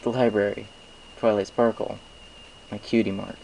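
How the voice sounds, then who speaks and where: A man with a fairly low voice narrates a piece of fiction. He reads from a script as an amateur storyteller, with faint noise in the home recording. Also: little pitch movement, normal speed, creaky, a little mellow, rough recording. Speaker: fan fiction reader.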